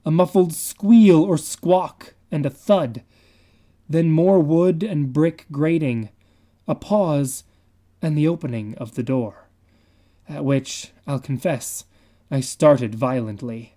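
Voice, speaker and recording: A man giving a dramatic reading of a horror story in a measured, confiding manner. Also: mid-range voice, dark tone. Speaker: reader.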